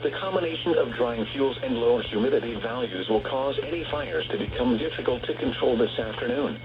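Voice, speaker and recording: A synthetic male voice reads a scripted weather bulletin as a radio announcer, in a measured, formal and impersonal way. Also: mid-range voice. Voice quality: synthetic text-to-speech voice. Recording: muffled noisy weather radio broadcast.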